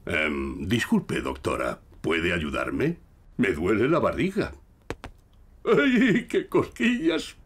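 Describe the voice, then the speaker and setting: A man's voice: low-pitched, huge pitch swings, takes it slowly, full character voice. A man voicing a jolly, kindly middle-aged daddy pig in a children's cartoon, with a hammy, playful manner and a Castilian Spanish accent.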